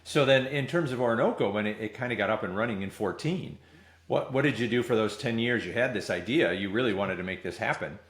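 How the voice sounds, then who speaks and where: A man's voice: on the low side, fast. A middle-aged man hosting a podcast, interviewing a guest in a casual, conversational way. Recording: roomy, echoing sound.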